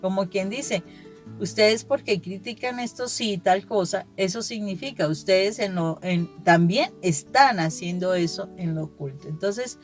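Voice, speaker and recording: A woman speaks in an earnest, explanatory way, as in a conversational gospel reflection. Her pitch sits in the middle for a woman, and she often rephrases what she has just said. Soft guitar music plays underneath. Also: measured pace.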